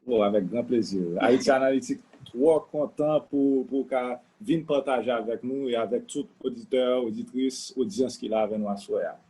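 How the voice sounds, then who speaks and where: A man hosting a live webinar, introducing the guest in a halting way and slipping into English phrases. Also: mid-range voice, mellow, husky, rough recording. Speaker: host.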